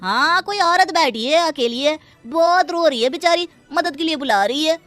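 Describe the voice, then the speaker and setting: A woman voicing an excited, fearful male neighbor in a cartoon. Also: high voice, moderate pace, soft background music, pitched above the performer's own voice. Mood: alarmed. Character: male slum neighbor, alarmed, cautious.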